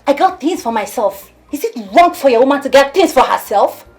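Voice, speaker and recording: A bossy, self-serving girlfriend in a film drama. Her delivery is highly animated, leaping up and down as she scolds and lectures with pointed questions. Music runs underneath the dialogue. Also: brisk. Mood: defiant, heated. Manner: scolding, theatrical, rhetorical questions. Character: domineering, sharp-tongued, self-serving girlfriend of a widowed-style father. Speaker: actress.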